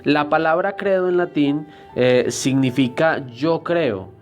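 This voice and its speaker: A man giving a church history lesson in a deliberate, explanatory way, often pausing with hesitation fillers. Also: a little high, soft background music. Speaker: Bible teacher.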